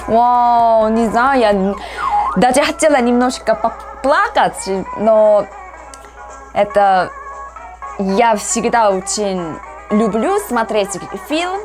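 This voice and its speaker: A woman in her thirties from South Korea with a Korean accent, giving casual reaction commentary in halting, simple phrases of a second language. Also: on the high side, expressive. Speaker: reaction vlogger. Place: small room at home, indoors. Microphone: lapel mic. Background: film soundtrack music.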